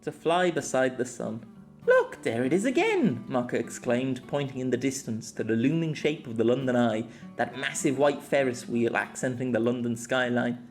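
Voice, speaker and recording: A man's voice: medium pitch, steady tone, normal speed, few pauses, soft background music. A man narrating a scripted story as an amateur audiobook reader.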